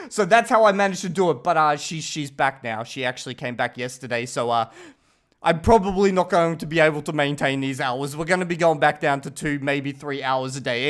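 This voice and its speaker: A man's voice: high voice, a bit bright. A man hosting a casual talking-head video, rambling and chatty, often stopping to check in with the viewer. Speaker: channel host reviewing his language learning.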